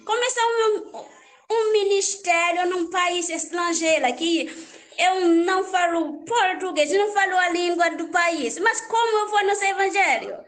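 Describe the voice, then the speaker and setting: Haitian lady, creole first language, high voice, slow, stops a lot and repeats herself. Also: fairly bright tone. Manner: halting, repeats phrases, searches for words. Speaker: church worship minister. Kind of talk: personal life story.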